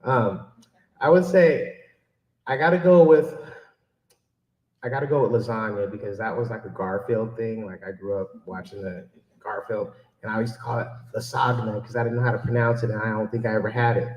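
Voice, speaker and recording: A man's voice: lowish voice, fairly quick. A man giving an informal, joking and self-deprecating audience Q&A talk as a keynote speaker and author. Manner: joking, self-deprecating, animated when quoting himself. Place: public library event room. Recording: echoey room, mic at a distance.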